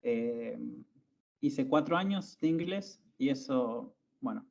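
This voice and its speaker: A man's voice: mid-range voice, lively intonation, slow pace, a little mellow. A young Argentine man hosting a podcast, chatting casually with lots of fillers and restarts. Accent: Argentine Spanish. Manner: hesitant, many fillers and restarts. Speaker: podcast host. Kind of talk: casual conversation. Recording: dull lo-fi audio.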